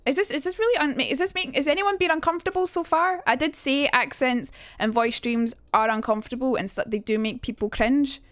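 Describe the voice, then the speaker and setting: A young woman talks her way through a casual stream lesson, hopping between chat messages and her own points. Her voice sits on the high side and sounds dry and close, with no room around it. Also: a little fast, few pauses, a bit bright, dry recording. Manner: chatty, reads chat aloud, jumps between topics, swears. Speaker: streamer teaching accents.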